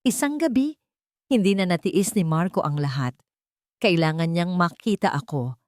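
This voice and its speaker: A woman reading a dramatic first-person story as an audiobook narrator, measured and dramatic. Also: on the low side, lively intonation, a little mellow, no echo, studio quality.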